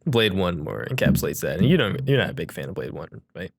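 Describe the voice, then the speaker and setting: A man's voice: low-pitched, big pitch swings, quick pace, creaky, husky. A man co-hosting a podcast, chatting casually about films in a joking, bantering way. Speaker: podcast co-host. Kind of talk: casual film-discussion chat.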